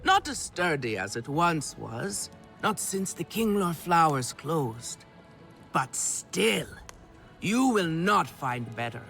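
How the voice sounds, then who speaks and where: Flamboyant alien clan elder, bright forceful voice, swooping intonation, effusive, video game cutscene, music underneath. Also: male voice, fairly high pitch, sing-song, slow, gravelly, full character voice. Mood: proud, effusive. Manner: theatrical, effusive. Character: flamboyant, doting male alien clan elder who loves fine silk. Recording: music behind the voice.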